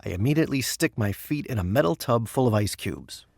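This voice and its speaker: An actor playing a patient, deferential presidential aide in a comedic audio drama, speaking in a measured deadpan. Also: male voice, mid-range voice, creaky, dry recording, clean studio sound. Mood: matter-of-fact.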